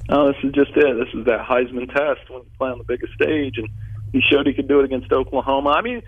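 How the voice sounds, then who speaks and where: A man in his forties from Ohio joins a sports radio show by phone. His voice is husky and comes through a thin, tinny phone line. He talks animatedly and trips over his own restarts. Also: medium pitch, fast. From Southeast Ohio. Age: 40s. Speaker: guest sportswriter. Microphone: phone call.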